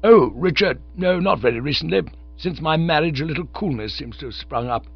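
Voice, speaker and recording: A man's voice: fairly high pitch, animated, fairly quick, creaky, husky, dry recording, voice pushed up, exaggerated voice. A man voicing a pompous elderly lord who speaks in stiff, elaborate phrases. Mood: stately. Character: pompous elderly male peer, stately and formal. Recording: dull lo-fi audio.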